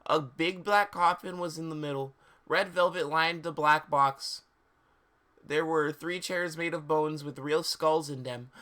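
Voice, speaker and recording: A man with a fairly high, bright and forceful voice reads a story aloud to a stream audience. He plays it mock-serious, tripping over odd words and dropping in amused asides. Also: steady tone, unhurried. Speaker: streamer reading fan fiction aloud. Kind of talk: live dramatic reading with amused asides.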